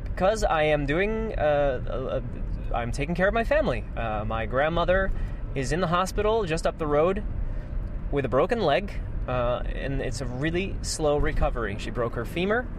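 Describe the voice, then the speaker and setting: A man with an American accent hosting a casual solo podcast, thinking aloud in a halting, repetitive, stream-of-consciousness way while driving. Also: a little high, lively intonation. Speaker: podcast host. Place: inside an old car being driven, windows closed. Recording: road and engine noise inside moving car. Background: road noise, engine, passing vehicles.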